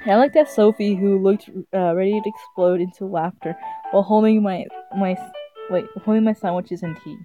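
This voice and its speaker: A woman's voice: mid-range voice, moderate pace. A woman streaming a visual novel, giggly and amused, reading text aloud casually and stumbling over it, game music behind her. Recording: slightly dull sound, game music behind.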